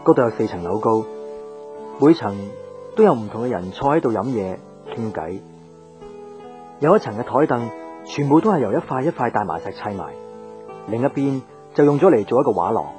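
An actor playing a reflective young traveller in a radio drama, narrating and chatting unhurriedly with a Hong Kong Cantonese accent. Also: male voice, mid-range voice, animated, normal speed, long pauses, music in the background, lightly acted. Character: reflective young man travelling, troubled by love.

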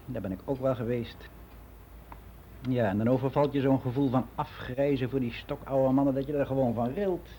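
Mellow-voiced, candid older man, played by an actor. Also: medium pitch, a little hiss or hum, lightly acted. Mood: candid, confiding. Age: 60s. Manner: frank, reflective, halting with self-corrections. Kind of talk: confessional monologue in a radio play.

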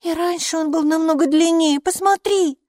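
A woman voicing a lively, stubborn, inquisitive little girl in a children's audiobook, speaking emphatically. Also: very high-pitched, normal speed, no room sound, clean studio sound, voice pushed up. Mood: excited, insistent. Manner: emphatic, excitable, matter-of-fact.

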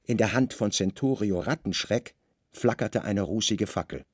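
A man's voice: fairly low pitch, normal speed, breathy edge, dry recording, clean studio sound. A man narrating a literary radio drama as its storyteller.

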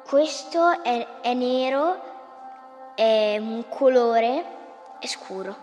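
A young-sounding female in a radio play, speaking in simple, childlike, halting and repetitive phrases. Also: a little high, lively intonation, a little mellow, lo-fi sound. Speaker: performer in a live radio play. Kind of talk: simple childlike dialogue and teaching. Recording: very reverberant hall, faint music.

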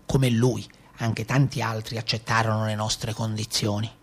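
A man's voice: on the low side, little pitch movement, moderate pace, breathy edge. An actor playing an unrepentant brigand leader from the Maremma, telling his outlaw life in a colloquial, matter-of-fact way with a Tuscan accent, in a radio play. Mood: quietly menacing. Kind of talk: theatrical first-person monologue in a radio play.

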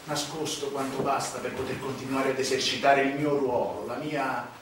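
A man's voice: mid-range voice, fairly even intonation, background noise, lightly acted. Man acting a proud mafioso, echoing from afar. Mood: arrogant, menacing. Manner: solemn, declamatory, dramatic pauses. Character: proud unrepentant Sicilian male mafioso confessing, arrogant, self-righteous. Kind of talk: theatrical stage monologue. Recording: echoey distant stage recording with music. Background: music.

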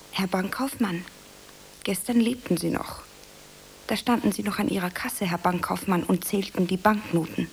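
A woman playing an overwrought, romantic young aspiring actress in a radio drama, with an expressive, theatrical delivery. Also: mid-range voice, creaky, husky, full character voice, rough recording. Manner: melodramatic, expressive. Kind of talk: German radio drama acting.

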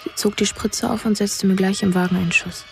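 Detached child narrator, a boy voiced by a woman, mid-pitched and even, plain-spoken literary radio play. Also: a little fast, gravelly, faint music behind, natural voice. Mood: wistful, even. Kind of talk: literary first-person radio drama narration.

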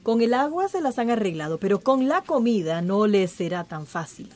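An actress playing a cool, calculating villain who schemes patiently in a radio drama. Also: female voice, medium pitch, big pitch swings, moderate pace, darkish tone, close to an everyday voice.

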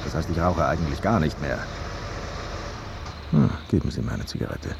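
A man's voice: a bass voice, sing-song, moderate pace, exaggerated voice. A male actor playing a sleep-deprived, wry neighbor who mutters and grumbles drily in a German radio drama. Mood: grudging, wry. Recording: clear background noise.

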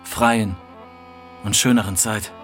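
A man's voice: lowish voice, steady tone, takes it slowly, a bit bright, faint music behind. A man giving a scripted reading of literary texts, letters and poems.

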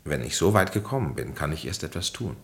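An actor in a German radio play as a grave, authoritative psychiatrist, speaking in measured, formal pronouncements. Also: male voice, a bass voice, sing-song, creaky, heavily acted. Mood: calm, authoritative. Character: grave, authoritative male psychiatrist, calm and oracular.

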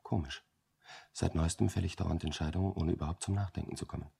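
An actor playing a harried, brooding businessman in a radio drama, gravelly and husky, talking in a rambling, self-questioning way. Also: deep voice, fairly even intonation, quick pace, dark tone, heavily acted. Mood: musing, weary. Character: harried male businessman, brooding, longing for time to think. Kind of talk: German radio drama acting.

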